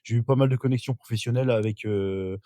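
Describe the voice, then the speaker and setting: A man's voice: medium pitch, fast, dark tone, no room sound. A middle-aged man from northern France, a podcast guest telling his life story casually, with many fillers and restarts. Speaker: interview guest.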